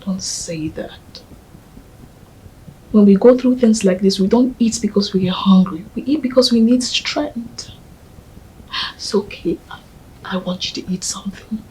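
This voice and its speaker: A woman plays a grieving woman, soft-spoken and pleading, her tone mellow and warm. Faint noise sits under her voice. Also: medium pitch, a little slow, close to an everyday voice, rough recording. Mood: comforting. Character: grieving young widow, later comforting a man.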